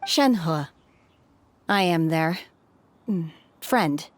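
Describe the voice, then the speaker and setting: An actress playing a reserved, solemn young woman in a video game, speaking in a formal, measured, stiffly polite way. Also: lowish voice, expressive. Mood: calm, earnest.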